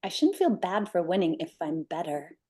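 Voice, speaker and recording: Casting: actress as unapologetic beauty contest winner, mellow tone, expressive pitch movement. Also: female voice, normal speed. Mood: smug. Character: smug, competitive, unapologetic pageant champion. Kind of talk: screenplay table read acting.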